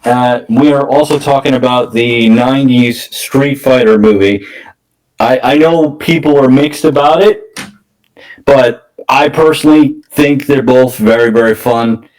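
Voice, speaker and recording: A man co-hosting a casual film discussion podcast, talking in a halting, enthusiastic fan's manner. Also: mid-range voice, a little mellow, far from the mic, rough recording. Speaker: podcast co-host.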